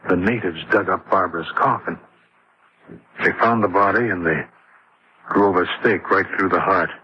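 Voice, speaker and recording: A man's voice: deep voice, moderate pace, lightly acted. An actor playing a troubled man who brings grim news, in an old radio horror drama. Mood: grave, urgent. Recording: muffled, noisy old radio recording.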